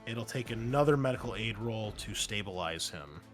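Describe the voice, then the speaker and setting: A man's voice: fairly low pitch, expressive, normal speed, a bit bright. Casual male game master, music behind. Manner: casual, thinking aloud through rules. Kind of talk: running a tabletop roleplaying game.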